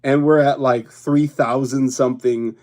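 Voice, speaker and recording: A man's voice: medium pitch, fairly even intonation, measured pace, darkish tone. A young man from Hawaii hosting a casual livestream, chatting with his viewers in a rambling way and laughing often. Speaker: YouTube livestream host.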